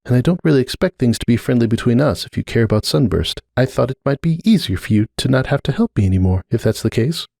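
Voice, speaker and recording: A man voicing a gently stubborn female pony mother in a dramatic fan fiction reading, hesitant and earnest, quick to defend herself. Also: mid-range voice, expressive, gravelly, dry recording, clean studio sound, voice pushed up. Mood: resigned, gentle.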